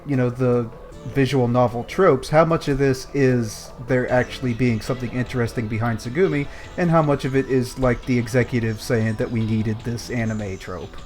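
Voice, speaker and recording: A man's voice: medium pitch, moderate pace, a little mellow, faint music behind. A man co-hosting a podcast, casually picking apart a game in conversation. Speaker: podcast co-host. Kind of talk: casual critical discussion of a game.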